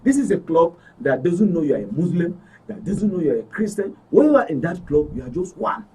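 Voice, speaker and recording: A man's voice: sits high, sing-song, brisk, mellow, breathy edge. A man with a Nigerian accent speaking as a studio guest, emphatic and repetitive in an impassioned, pleading way. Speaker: studio guest, club member. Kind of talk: impassioned interview talk. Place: radio and TV studio. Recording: distant microphone.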